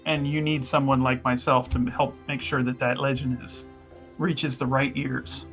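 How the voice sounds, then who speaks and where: A man playing a smooth-talking schemer in a tabletop game, persuading in a halting, wheedling, euphemistic way. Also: mid-range voice, little pitch movement, a bit bright, soft background music, lightly acted. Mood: flattering.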